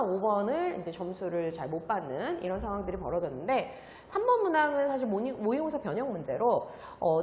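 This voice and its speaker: A woman's voice: medium pitch, slight background noise. A female math academy teacher explaining an exam's results to students, using frequent "uh" fillers. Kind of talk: exam analysis lecture. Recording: echoey room with long reverb.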